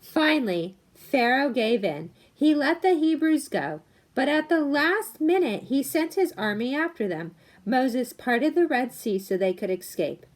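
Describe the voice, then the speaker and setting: An old storybook grandmother, voiced by a woman reader telling a grave old tale. She recounts it in clear narrative steps. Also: high voice, sing-song, a little slow, pitched above the performer's own voice, full character voice. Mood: dramatic storytelling. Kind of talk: picture-book read-aloud.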